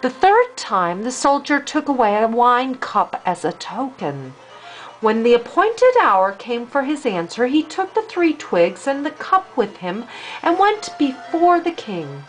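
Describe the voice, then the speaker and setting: An older woman, a grandmother, reading a fairy tale aloud in an expressive storytelling way for a child. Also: on the high side, measured pace, rough recording.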